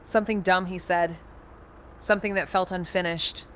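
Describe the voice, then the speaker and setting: A woman's voice: on the low side, steady tone, moderate pace, a bit bright, slight background noise, lightly acted. A woman playing an anxious young amateur detective and narrator in a modern noir audio drama. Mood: somber, numb. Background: music underneath.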